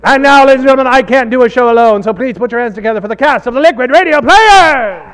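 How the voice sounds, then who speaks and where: Guy with a really high voice hosting a stage comedy show, old 1940s radio emcee style, big showman, echoey. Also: expressive, fast talker, a bit bright, a little hiss or hum. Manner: showman-like, projecting to an audience. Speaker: host of a live improvised radio comedy show. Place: stage in front of a live audience. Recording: echoey live stage sound.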